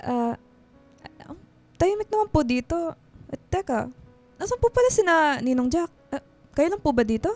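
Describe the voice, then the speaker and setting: High-voiced, bashful young goddaughter. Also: female voice, big pitch swings, moderate pace, long pauses, darkish tone, faint music behind. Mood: polite, shy. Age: young adult. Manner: polite, eager, slightly shy. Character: polite, bashful, sweet young goddaughter visiting. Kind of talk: Filipino radio drama acting.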